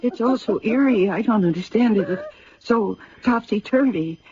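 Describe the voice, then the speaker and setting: A woman acting a gentle, earnest, bewildered young woman in an old-time radio drama, with theatrical delivery. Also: medium pitch, animated, moderate pace, slight background noise, close to an everyday voice. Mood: puzzled, recalling. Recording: muffled vintage radio drama recording. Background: music.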